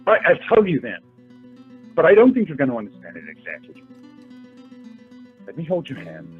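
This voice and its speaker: A man acts out an earnest young working-class suitor in a radio play. His voice is husky and swings widely in pitch, with emphatic, hesitant delivery. The audio is lo-fi with music behind. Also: wildly swooping pitch, normal speed, exaggerated voice. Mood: evasive, troubled.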